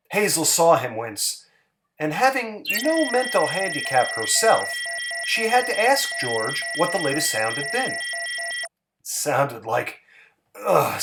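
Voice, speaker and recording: A man's voice: a little high, background noise. A man giving a dramatic, theatrical reading of a literary short story. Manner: expressive, theatrical. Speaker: story reader.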